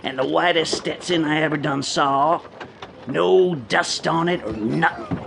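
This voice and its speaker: An actor playing a grizzled, joshing old stagecoach driver in a radio drama, with a folksy drawl. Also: male voice, fairly high pitch, unhurried, gravelly, noisy background.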